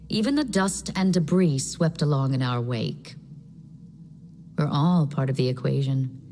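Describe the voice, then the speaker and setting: An actress playing a calm, cryptic female leader of a chaos faction, soft and measured, slipping into whispers. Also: low voice. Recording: reverberant space with clear game music.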